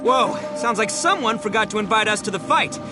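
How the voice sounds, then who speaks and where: A man's voice: high-pitched, lively intonation, a little fast, long reverb tail, loud music behind the voice. A man voicing a brash, boisterous young brawler in a video game who talks in swaggering slang.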